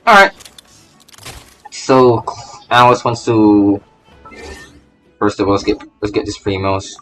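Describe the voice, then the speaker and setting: A man's voice: lowish voice, fairly even intonation, slow, fairly bright tone, faint music behind. A man doing casual live game commentary, rambling and stammering as he repeats his phrases. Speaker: VTuber game streamer.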